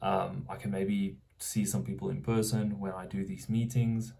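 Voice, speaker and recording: A man's voice: low voice, level tone, normal speed, vocal fry, mellow. A young South African man vlogging casually, thinking aloud and often restarting his sentences as he searches for words.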